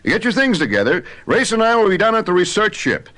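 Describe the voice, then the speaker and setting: Scientist-inventor father, genial and assured, fairly high voice with lively intonation, cartoon adventure drama. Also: fairly quick, heavily acted. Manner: assured, fatherly, clearly enunciated.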